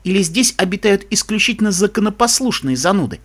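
A man's voice: high-pitched, animated, fast talker. A man voicing a confident, courteous female pony sorceress in an audiobook, polite and wry. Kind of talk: audiobook character voice.

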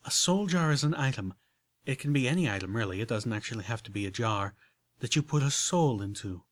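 A man's voice: medium pitch, expressive, brisk, no room sound, lightly acted. A man voicing an elegant, prim unicorn mare who talks with genteel enthusiasm. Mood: confiding.

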